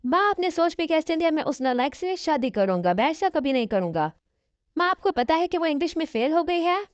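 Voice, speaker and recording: A woman's voice: high voice, sing-song, a little fast, dry recording. A woman voicing a haughty, sarcastic young male lecturer in a cartoon, scornful and bossy. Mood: indignant. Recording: dull, lo-fi audio.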